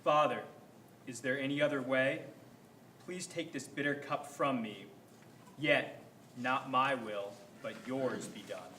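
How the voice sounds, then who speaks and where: A man's voice: medium pitch, slow pace, lightly acted. A man reading the part of Jesus, a grave and sorrowful teacher, in a reader's theater performance of scripture. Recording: echoey room, faint background noise.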